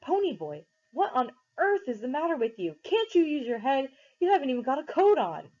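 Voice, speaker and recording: A woman doing a furious young man shouting. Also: sits high, big pitch swings, brisk, voice pushed up. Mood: angry scolding. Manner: shouting, scolding. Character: furious young man, stern older brother. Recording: dull lo-fi recording.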